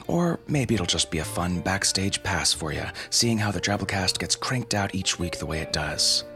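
Deep-voiced male podcast host, wry narration over music. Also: expressive, moderate pace, pushed and bright. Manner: wry, tongue-in-cheek. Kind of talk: scripted narration.

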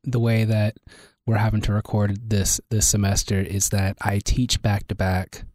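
A man co-hosting a casual chat, his voice hoarse and worn out. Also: lowish voice, little pitch movement, moderate pace, a little mellow, dry recording. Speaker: university lecturer and podcast co-host.

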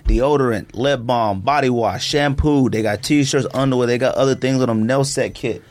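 Animated, slangy man hosting a podcast. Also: medium pitch, normal speed. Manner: animated, jokey, slangy and repetitive. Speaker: podcast host. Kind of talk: casual podcast banter and joking ad read. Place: podcast studio, indoors.

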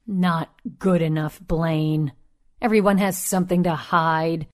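A woman's voice: low voice, fairly even intonation. A woman playing a demanding, suspicious, imperious client in an audio drama. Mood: cold, insistent. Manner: slow, imperious.

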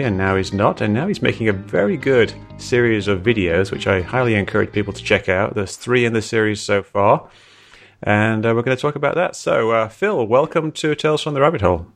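Low, gravelly male podcast host. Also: brisk, soft background music. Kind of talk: conversational interview.

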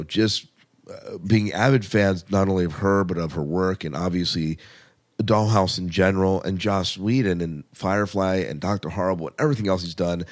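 A man with a low-pitched voice hosts a podcast in a casual, conversational style. He rambles informally as he reviews shows and freely shares his opinions. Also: a little mellow. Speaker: podcast host.

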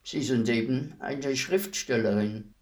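An actor playing a servile state executioner in a radio play, terse, deferential and matter-of-fact. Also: male voice, medium pitch, slow, close to an everyday voice. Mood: quiet regret. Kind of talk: German radio play acting.

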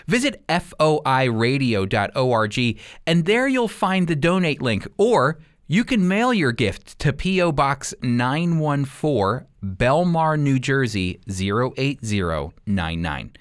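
A man's voice: medium pitch, big pitch swings, a little slow, clear, resonant tone. An American man teaching the Bible as a radio host, speaking earnestly and emphatically in a conversational preaching style. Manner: earnest, emphatic, rhetorical questions.

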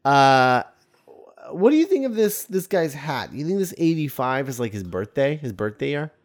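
Male podcast co-host, casual film chat, rambling, repetitive for emphasis, mid-pitched voice. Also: very animated, normal speed.

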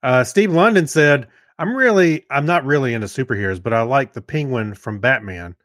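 A man with a medium-pitched voice co-hosts a casual podcast. He speaks in a loose, halting way. The recording is dry, with no room sound. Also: animated, fairly bright tone, no echo. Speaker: podcast co-host. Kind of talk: casual chat.

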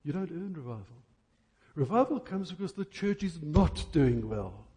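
A man preaching an impassioned church sermon, emphatic, with rhetorical questions and deliberate stresses on key words. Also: on the high side, sing-song, dark tone.

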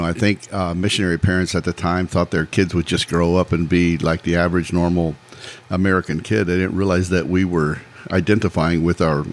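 A man in his sixties, from Peru, recounting his life in a measured, plainspoken way as a podcast guest. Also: deep voice. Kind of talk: casual interview storytelling.

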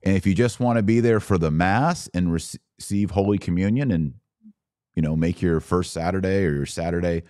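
Deep-voiced American man hosting a podcast. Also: a little fast, warm tone, no room sound, studio quality. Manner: folksy, conversational, direct address to listeners with rhetorical questions. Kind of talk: informal explanatory talk on a live podcast.

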